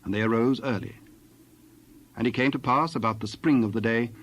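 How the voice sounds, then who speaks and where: A man narrating dramatized scripture in a formal, measured storytelling style. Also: medium pitch, dull audio.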